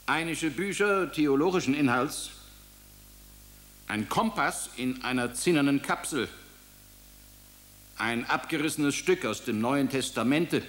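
A man reads narration for a radio drama at an unhurried pace. His delivery is measured and plain, one item after another. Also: medium pitch, lively intonation, fairly bright tone. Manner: slow, measured listing.

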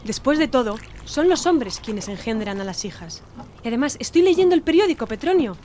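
Woman acting a domineering, condescending matriarch, highish voice. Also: big pitch swings, a little fast, a little mellow, slight background noise. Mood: condescending, firm. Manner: lecturing, dismissive. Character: domineering middle-aged matriarch, condescending and impatient mother. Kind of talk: Spanish-language radio drama acting.

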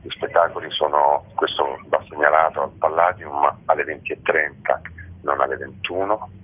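A very low-voiced man speaks over a thin telephone line. He sounds husky and answers interview questions in a halting, reflective way, pausing to pick his words. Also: fairly even intonation. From Rome, Italy. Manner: halting, measured, with pensive pauses. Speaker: theatre director and actor, interviewed guest. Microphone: phone call.

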